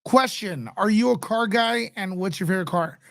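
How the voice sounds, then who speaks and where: A man's voice: high voice, moderate pace, creaky. Laid-back nasal-voiced male host. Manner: laid-back, laughs often, mock radio-announcer bits. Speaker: show host. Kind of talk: casual livestream chat reading viewer questions.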